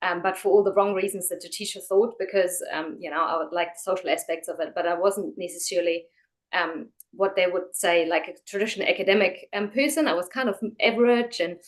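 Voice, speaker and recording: A woman's voice: fairly low pitch, fairly quick. A woman from Germany, speaking as a guest in a casual interview, chatty and full of fillers and restarts.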